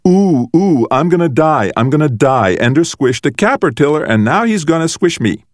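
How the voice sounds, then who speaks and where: A man's voice: on the low side, big pitch swings, measured pace, darkish tone, dry recording, studio quality, pitched above the performer's own voice. A man playing a cruel, taunting boy bully. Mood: sneering. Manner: mocking, menacing, theatrical. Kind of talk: audiobook character voice.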